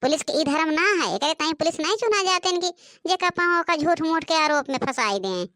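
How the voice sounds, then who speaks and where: A woman acting out a defiant cartoon villager with an Awadhi accent. The voice is very high and closely recorded, with a dry sound, and argues in a scolding, sharp-tongued way. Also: expressive, fairly quick, no echo. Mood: scornful. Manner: scolding, argumentative. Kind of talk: comic cartoon dialogue.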